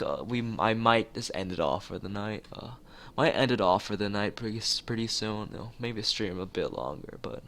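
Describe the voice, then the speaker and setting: A man's voice: lowish voice, creaky, fairly bright tone, slight background noise. A man streaming, reading a visual novel aloud and often stumbling over phrases and repeating them. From United States. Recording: music playing behind the voice.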